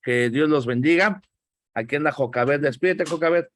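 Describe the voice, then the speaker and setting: A man's voice: a little high, normal speed, a bit bright. A middle-aged man with a Mexican accent, a pastor teaching an online Bible class in a joking, emphatic, friendly scolding way.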